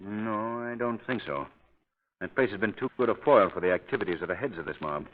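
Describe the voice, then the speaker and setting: A man acting a suave, assured crime-fighter in a 1930s radio drama, speaking in a measured, formal, probing way. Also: fairly low pitch, expressive. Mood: thoughtful. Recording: muffled vintage 1930s radio recording.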